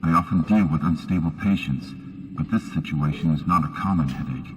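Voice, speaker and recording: A deep-voiced, wry game doctor. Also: male voice, fairly quick, long reverb tail. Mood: wry, concerned. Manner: clinical, dryly sardonic. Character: weary, wry male doctor in a sci-fi horror game. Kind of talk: video game acting, musing monologue.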